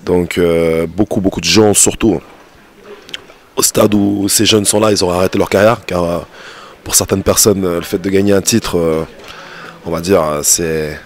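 A man in his thirties who leads a fight team, answering in a group interview with frequent fillers, on a handheld mic in an indoor lounge. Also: sits low. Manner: hesitant, many fillers. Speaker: fight team head coach. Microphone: handheld mic with windscreen.

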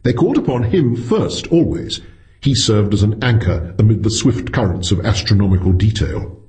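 A man's voice: on the low side, big pitch swings, normal speed, a little mellow. A man narrating a science fiction short story as a scripted audiobook.

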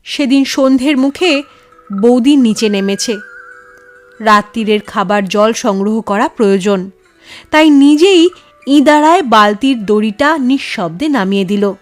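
A woman narrating a ghost story in an expressive, suspenseful, dramatic manner. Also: a little high, unhurried, faint music behind.

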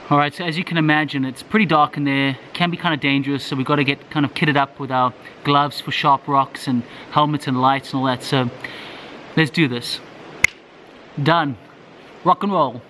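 A man in his thirties, vlogging with excitement while talking to a handheld camera in the jungle and inside a huge cave. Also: mid-range voice, slight background noise. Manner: enthusiastic, excited, talks straight to handheld camera. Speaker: travel vlogger. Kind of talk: casual travel vlog. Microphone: handheld camera at arm's length.

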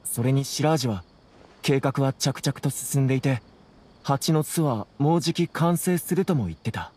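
A man's voice: medium pitch, moderate pace, warm tone, breathy edge, close to an everyday voice. An actor playing an earnest, apologetic young man in a Japanese anime game, speaking in a polite, subdued way. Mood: serious.

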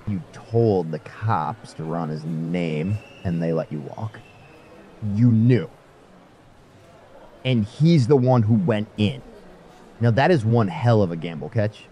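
A man's voice: lowish voice, lively intonation, slow, long pauses, mellow, slight background noise. A man playing a menacing, folksy drifter in a sci-fi audio drama, talking in a taunting way.

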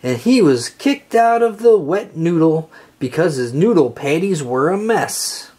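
An expressive reader narrating a children's story aloud and acting out the dialogue. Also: mid-range voice, unhurried, breathy edge.

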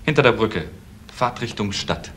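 An actor playing a suspicious, needy young nephew in a crime radio play, with an intense, fixated way of talking. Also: male voice, on the low side, lively intonation, moderate pace, fairly bright tone, slight background noise. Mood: brooding, insistent.

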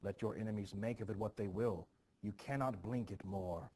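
A man reading the part of a forceful, scheming village man in a stage play, commanding and insistent. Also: lowish voice, normal speed, a little hiss or hum, close to an everyday voice.

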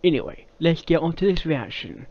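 A young man gives casual live commentary as a reaction host. He interjects short remarks and questions, and his pitch moves around a lot. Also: expressive, normal speed, lo-fi sound. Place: small cluttered room indoors.

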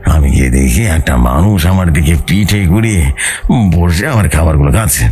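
A man's voice: a bass voice, lively intonation, normal speed, darkish tone, soft background music. A man narrating a horror story in the first person, in a dramatic storytelling style, as the narrator of an audio story.

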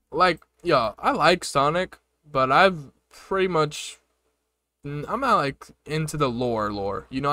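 A man's voice: fairly high pitch, fairly bright tone, no echo. A man in his twenties giving casual, slangy reaction commentary in amused disbelief, close on a desk microphone. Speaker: reaction streamer. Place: small bedroom setup indoors. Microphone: close desk microphone with headset.

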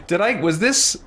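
A man's voice: sits high, bright, forceful tone. A middle-aged man giving casual gameplay commentary as host, thinking aloud as he plays. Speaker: let's play host. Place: small indoor room with shelves.

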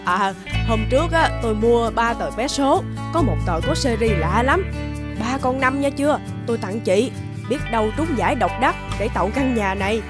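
Generous cartoon neighbour lady, acted by a woman, bustling, emphatic, very animated intonation, music behind. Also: fairly high pitch, normal speed, natural voice. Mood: helpful. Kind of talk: animated moral-tale dubbing.

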